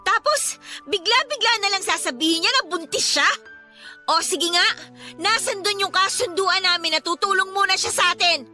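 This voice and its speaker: A woman's voice: very high-pitched, normal speed, bright, forceful tone, exaggerated voice. A Filipino woman playing a frustrated, outspoken eldest daughter in a radio drama, indignant and emphatic in her delivery. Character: frustrated, outspoken adult eldest daughter, dutiful but hurt. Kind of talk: Tagalog radio drama acting. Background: background music.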